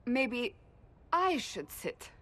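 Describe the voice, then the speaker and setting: An earnest, hesitant friend. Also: female voice, fairly high pitch, very animated, drawn-out words, a bit bright, exaggerated voice.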